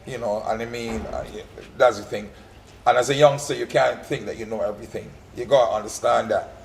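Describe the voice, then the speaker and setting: A man's voice: mid-range voice, fairly even intonation, brisk, vocal fry, slight background noise, low-quality recording. Emphatic man listing points. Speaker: entertainer. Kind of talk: casual conversation.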